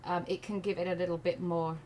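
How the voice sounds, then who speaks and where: A woman's voice: on the low side, level tone, quick pace, darkish tone. A woman from England with a blended English and American accent, casually thinking aloud as she hosts a live art tutorial. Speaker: art livestream host. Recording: noticeable background noise.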